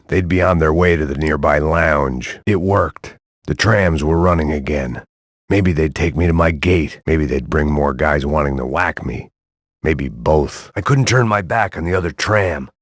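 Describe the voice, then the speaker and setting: A man voicing a weary, cynical middle-aged ex-cop, narrating a game in a dry, sardonic, husky voice. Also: extremely low pitch, no room sound.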